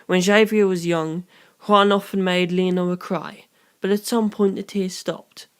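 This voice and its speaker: A reader voicing a calm, analytical young detective in an audiobook, speaking in measured, formal, deliberate phrases. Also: mid-range voice, smooth, natural voice. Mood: grave, analytical. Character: Tsukumajuku, calm analytical young detective explaining grim theories.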